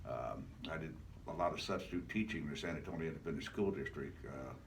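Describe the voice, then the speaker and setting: Very deep-voiced man in his seventies. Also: expressive, fast talker. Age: 70s. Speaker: retired police officer, guest. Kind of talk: casual reminiscing interview talk. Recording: distant mic, faint background noise.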